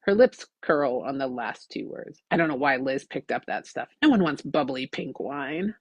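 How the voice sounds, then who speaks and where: A woman reading her own audiobook story in an expressive, scripted narrating style. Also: a bass voice, moderate pace, slightly rough, dry recording.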